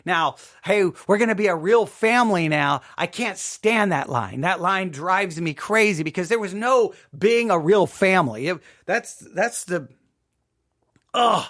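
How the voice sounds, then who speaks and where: Male host, notably high voice, off-the-cuff personal commentary, emphatic, halting, circles back on phrases. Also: animated, fairly bright tone. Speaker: host commenting on a radio drama. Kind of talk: casual, personal spoken commentary.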